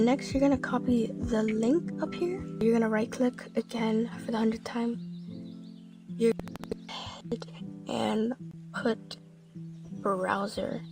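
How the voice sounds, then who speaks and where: A woman's voice: fairly high pitch, fairly even intonation, measured pace, music in the background. A woman talking casually through a screen-recorded tutorial, one step at a time. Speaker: tutorial maker.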